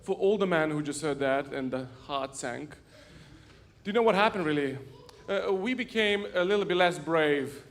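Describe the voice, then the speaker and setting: A man's voice: a little high, normal speed. A man preaching in a church, telling funny stories and laughing at his own jokes. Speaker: pastor. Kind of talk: humorous sermon storytelling. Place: church sanctuary.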